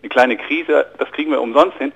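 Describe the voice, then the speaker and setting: A man's voice: medium pitch, fairly quick, gravelly, a bit bright, breathy edge, lo-fi sound. A man with a German accent, a financial journalist giving a radio interview, explaining economics fluently but with many fillers and repeated words. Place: radio studio.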